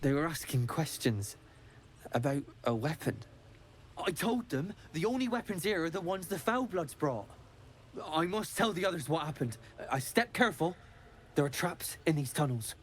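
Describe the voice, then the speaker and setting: A man's voice: a little high, lively intonation, moderate pace, slight background noise, close to an everyday voice. A man playing a frightened, grateful rescued captive in a fantasy game, anxious and earnest. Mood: shaken, grateful.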